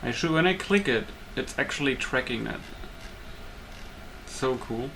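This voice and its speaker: A man's voice: medium pitch, animated, a little slow, gravelly, sharp tone, slight background noise. A man giving casual gameplay commentary, thinking aloud in short, broken remarks. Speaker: gamer, video host. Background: game music.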